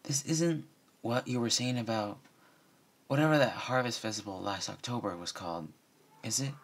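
Man voicing female pony, sensible, skeptical, chiding, raised pitch, storybook roommate. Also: normal speed, husky. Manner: practical, chiding.

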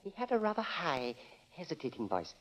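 Animated, insistent elderly gentleman. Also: on the high side, a little fast, low-quality recording. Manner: precise, emphatic, theatrical. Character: elderly gentleman witness, insistent and self-assured. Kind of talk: 1940s radio drama acting.

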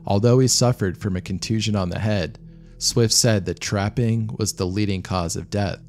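Guy narrating a true story doc, kinda deep voice, matter of fact, bit of music behind him. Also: steady tone, moderate pace, a little mellow. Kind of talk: scripted documentary-style narration of a true disaster story. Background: background music.

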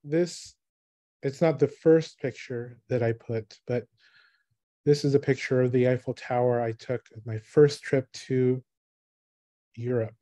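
A man in his thirties, from the Philippines, giving a thoughtful online talk about his photography on a video call, with pauses and restarts. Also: medium pitch, warm tone, no echo. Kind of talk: online presentation. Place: small room, indoors. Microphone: webcam on a video call.

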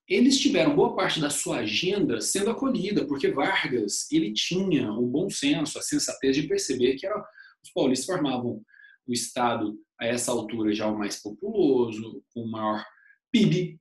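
A man in his thirties teaching history over slides in a casual online lecture, constantly tagging sentences with a questioning "né?". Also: reverberant. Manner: chatty, uses "né?" as a constant tag, animated pitch swings.

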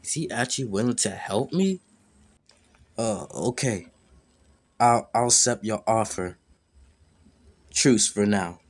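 A man's voice: fairly low pitch, lively intonation, slow pace, long pauses. A man voicing a lost, anxious young newcomer in an amateur game roleplay, hesitant and stammering. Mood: wary, tentative.

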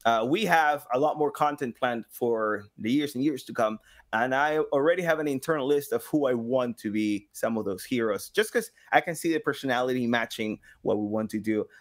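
A man in his thirties chatting casually as a podcast guest, telling stories in an animated way and acting out little quotes. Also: mid-range voice, brisk. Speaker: podcast guest, game studio engagement director and sound designer. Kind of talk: casual conversational storytelling. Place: small home room with guitars.

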